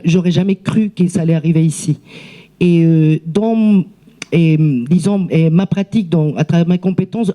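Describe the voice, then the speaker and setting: A woman who is not a native French speaker, giving spontaneous remarks in a seminar debate, halting and searching for words with many repeats. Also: on the low side, mellow. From outside France, immigrant.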